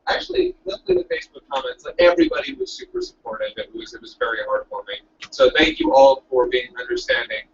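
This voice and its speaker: A man's voice: medium pitch, huge pitch swings, quick pace, few pauses. A man, a director and editor, chatting over a call in an eager, rambling way. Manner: eager, rambling, self-correcting. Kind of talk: casual chat over a call. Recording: distant lo-fi call audio with faint hiss.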